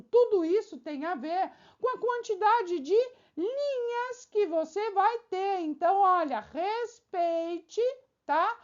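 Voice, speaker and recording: A woman teacher speaks in a very high voice and takes her time, laying out exam advice step by step. She checks in with the viewer often and works through examples. The recording sounds slightly dull and lo-fi. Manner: explains with frequent checking tags and examples. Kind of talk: exam-prep video lesson.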